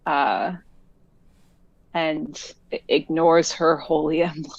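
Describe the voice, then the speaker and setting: Slow, rambling player on a dull mic. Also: medium pitch, little pitch movement, no echo. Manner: chatty, rambling with fillers. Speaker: tabletop game player. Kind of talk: describing a character's actions.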